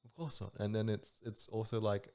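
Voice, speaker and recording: A man's voice: lowish voice, flat delivery, normal speed. A man, a podcast guest chatting easily, laughing often and poking fun at himself. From Australia. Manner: easygoing, laughs often, a bit self-mocking. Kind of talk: casual chat.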